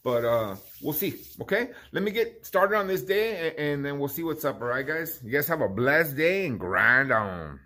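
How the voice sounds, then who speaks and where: A man's voice: a little high, sing-song, normal speed, few pauses, pushed and bright, slight background noise. A man in his fifties with a Southern California accent, a barbershop owner talking casually to his phone camera in his small shop, rambling and repeating words. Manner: rambling, repeats words, addresses viewers as guys. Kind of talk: casual vlog talk to camera. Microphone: handheld phone at arm's length.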